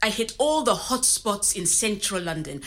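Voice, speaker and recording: Bright-voiced immigrant woman. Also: medium pitch, big pitch swings, normal speed. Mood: wry, reminiscing. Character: frank, creative immigrant woman telling her story. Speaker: actor in a scripted audio story. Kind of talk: naturalistic conversational storytelling.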